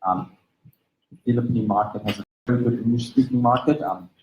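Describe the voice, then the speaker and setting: A man's voice: mid-range voice, steady tone, brisk, a little mellow, reverberant, lo-fi sound, slight background noise. A man from Austria with an Austrian German accent, German as his first language, giving a conference talk in an explanatory manner, leaning on fillers like "basically" and "right?". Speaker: conference speaker. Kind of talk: conference presentation. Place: conference venue.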